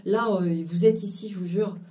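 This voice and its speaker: Woman doing a tarot reading for viewers, lots of euh and restarts, low mellow voice, kinda far from the mic. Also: steady tone, a little hiss or hum. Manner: halting, full of fillers and restarts, mixes in English phrases. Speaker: tarot card reader. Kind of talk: casual spiritual card reading to viewers.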